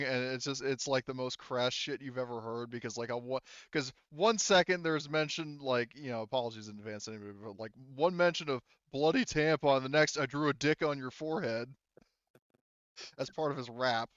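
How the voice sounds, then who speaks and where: Man, game master, smooth clean voice, close dry sound, casual profane storytelling. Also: mid-range voice, normal speed, a bit bright, dry recording, dull audio. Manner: crude, profane. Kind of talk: casual tabletop game narration.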